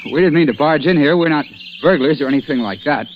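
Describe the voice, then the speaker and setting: A man's voice: fairly high pitch, quick pace, a little hiss or hum, natural voice. An actor playing a stubborn, exasperated man about town in a 1940s-style radio crime drama, speaking in a clipped, dramatic manner. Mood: tense, determined. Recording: dull old-time radio recording.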